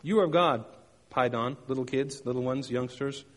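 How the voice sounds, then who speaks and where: A man's voice: medium pitch, normal speed, long reverb tail. A man delivering a sermon, telling personal stories with emphatic repetition and playful sound effects. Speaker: pastor.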